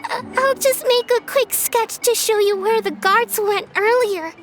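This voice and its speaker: A woman's voice: extremely high pitch, unhurried. A woman voicing an anxious young girl in video game dialogue, who stammers and pleads for help. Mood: pleading. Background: game soundtrack music.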